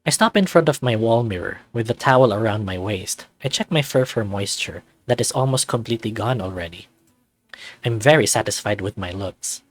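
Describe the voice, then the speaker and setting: A man's voice: fairly low pitch, expressive, a little fast, no room sound. Wry young man narrating, dry close sound. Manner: cocky, wry, conversational. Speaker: visual novel narrator, reading the lead character. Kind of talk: first-person scripted narration, playful.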